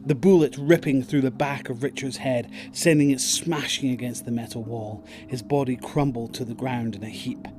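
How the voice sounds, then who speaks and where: A man's voice: medium pitch, steady tone, normal speed, few pauses, music in the background. A man narrating a scripted audio drama in storytelling prose.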